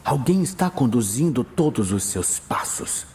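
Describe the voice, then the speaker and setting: Earnest archmage, acted by a man, restrained and urgent, very husky, hushed delivery for fantasy audio drama. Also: medium pitch, sing-song, full character voice. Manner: hushed, measured, pleading. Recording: music bed behind voice.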